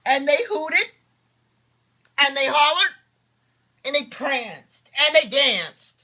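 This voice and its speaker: A man reads a bedtime storybook to his children in a bright, forceful voice. His delivery is very animated and unhurried, leaning hard on key words and slipping into chatty asides. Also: very high voice. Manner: theatrical, drawn-out emphasis on key words.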